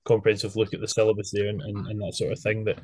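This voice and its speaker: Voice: deep voice, completely flat delivery, racing, a little mellow, slightly rough. A podcast host in their twenties, leading a casual group interview with self-deprecating banter. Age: 20s.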